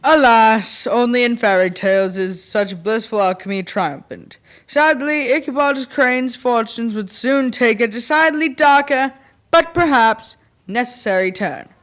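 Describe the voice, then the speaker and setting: A woman's voice: a little high, unhurried, a bit bright. A female performer playing the formal storyteller who narrates a folk tale in a school radio play. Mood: storytelling. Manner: formal, literary phrasing.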